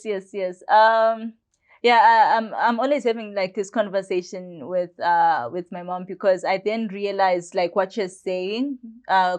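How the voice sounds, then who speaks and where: A young woman of about twenty, chatting as an interview guest and telling her story with plenty of "like" fillers. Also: medium pitch. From Zimbabwe. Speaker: guest, biomedicine student. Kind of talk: casual storytelling in conversation.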